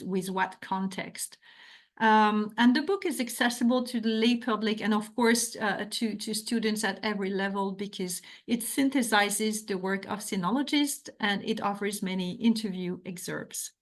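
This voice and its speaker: A middle-aged woman from France with a French accent, giving an academic talk and answering questions, earnest and full of restarts. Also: medium pitch. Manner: earnest, hesitant with frequent restarts. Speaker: academic author.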